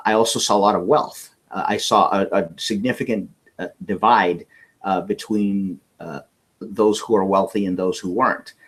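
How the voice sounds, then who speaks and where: A man from California, an interview guest telling a personal story in earnest, often restarting his words. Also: lowish voice.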